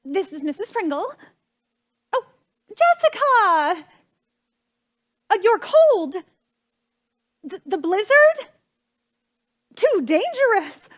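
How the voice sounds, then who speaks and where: Woman playing a shrill, imperious society hostess. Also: big pitch swings, takes it slowly, long pauses, full character voice. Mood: sweetly sympathetic. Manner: haughty, exasperated, theatrical. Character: fussy, imperious society hostess, mother of a debutante. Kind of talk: stage comedy acting.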